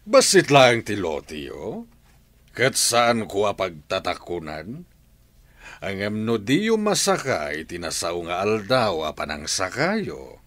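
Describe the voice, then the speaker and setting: A man's voice: lowish voice, wildly swooping pitch, takes it slowly, fairly bright tone, dry recording, full character voice. An actor playing a domineering, demanding older father and moneylender in a radio drama, with a Filipino accent. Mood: stern, menacing.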